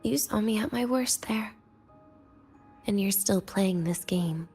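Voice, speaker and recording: A woman voice acting a weary, teasing, guarded and cynical young woman patient in a video game, with a breathy, languid delivery that slips into near-whispers. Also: mid-range voice, slow, a little mellow. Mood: guarded, coy. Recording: music behind the voice. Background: background music.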